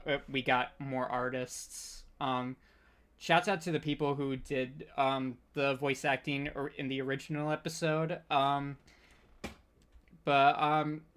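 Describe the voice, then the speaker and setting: A man hosting an informal online panel, speaking in a halting, scattered and hesitant way. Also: medium pitch, level tone, fairly bright tone, clear, resonant tone. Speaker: panel host.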